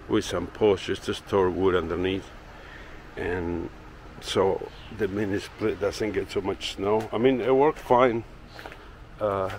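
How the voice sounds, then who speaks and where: Man, very low voice, unhurried, rambling garden tour, handheld camera outdoors. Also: a little hiss or hum. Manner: rambling, filler-heavy, relaxed. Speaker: woodworking channel owner. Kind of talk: casual walking tour vlog. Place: backyard garden outdoors, then indoor workshop. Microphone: handheld camera held by the speaker.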